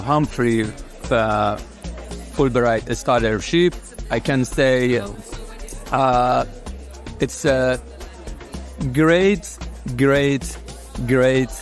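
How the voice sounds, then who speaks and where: A man from Iran, a water engineer and program fellow, telling his story in a halting soundbite full of fillers and restarts. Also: mid-range voice. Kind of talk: recorded soundbite.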